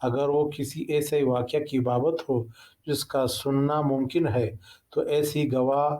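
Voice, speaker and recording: Male law lecturer, Pakistani accent, unhurried and soft-edged, reciting statutes formally. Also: mid-range voice, level tone. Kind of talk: reading legal text aloud.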